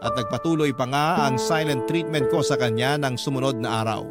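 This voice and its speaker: A man's voice: mid-range voice, a little fast, close to an everyday voice. A man playing a lovelorn boyfriend who narrates his own story reflectively, as a confession in a radio drama. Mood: resentful. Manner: earnest, reflective. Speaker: letter-sender narrating his love story. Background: loud music.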